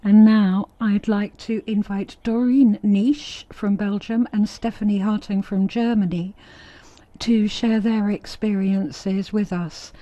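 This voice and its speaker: A woman interpreting a short prepared speech live into English, with a steady, even delivery. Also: medium pitch, takes it slowly, no echo. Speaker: simultaneous interpreter. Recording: dry, dull booth feed.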